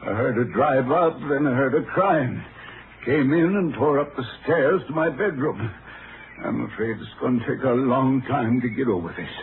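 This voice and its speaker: A man's voice: mid-range voice, lively intonation, moderate pace, natural voice. Wealthy middle-aged rancher played by a man, anxious father, 1950s radio drama, thin hissy sound. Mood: subdued, polite. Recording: noisy, tinny 1950s radio recording.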